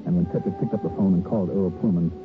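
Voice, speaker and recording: A man's voice: low-pitched, racing, close to an everyday voice. An actor playing a wry, unruffled investigator who narrates his case conversationally in an old radio detective drama. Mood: brisk, matter-of-fact. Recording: tinny old radio broadcast with music.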